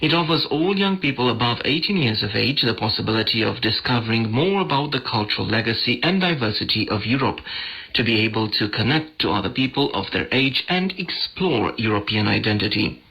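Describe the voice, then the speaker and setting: A man's voice: medium pitch, animated, a little fast, sharp tone, rough recording. A man hosting a scripted news magazine on a digital shortwave radio broadcast. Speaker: radio host.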